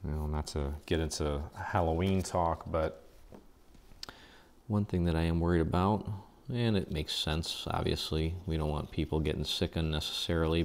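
A man hosting a casual step-by-step paper craft tutorial, chatty and relaxed, thinking aloud with fillers as he works. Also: extremely low pitch, slight background noise.

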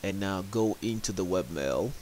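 A man with a very deep voice presents a step-by-step tech tutorial. He speaks in a plain, instructional manner with some hesitation. The voice-over is a home recording with a faint hiss running under it. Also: animated, normal speed, a little mellow, low-quality recording. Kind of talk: step-by-step screen tutorial narration. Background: clear background hiss.

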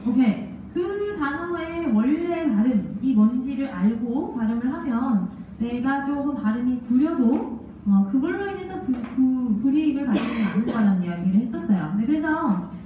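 A woman in her thirties teaches a class through a handheld microphone, lively and peppering the room with questions. Her voice sits fairly high. The sound is dull, echoing through the classroom and caught from far away. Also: moderate pace, slight background noise. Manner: lively, asks the class questions, laughs often. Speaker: language test instructor. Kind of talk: classroom lecture. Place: lecture classroom. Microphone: handheld mic, far camera.

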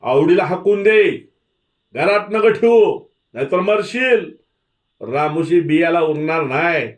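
A man voicing angry, threatening villagers with indignant rustic shouts in a dramatic story reading. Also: a little high, expressive, slow, pitched above the performer's own voice. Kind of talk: dramatic audiobook character voice.

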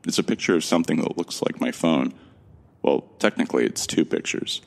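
A man with an extremely deep, gravelly voice narrates a sci-fi audio drama in the first person. He sounds earnest and a little lost as he tells the story. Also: lively intonation, a little fast. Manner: earnest, bewildered. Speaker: narrator and lead actor.

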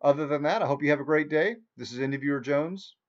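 Folksy man with dull, lo-fi sound. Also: fast. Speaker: product reviewer, video host. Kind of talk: casual unscripted product review to camera. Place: small room at home. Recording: dull, muffled low-fi sound.

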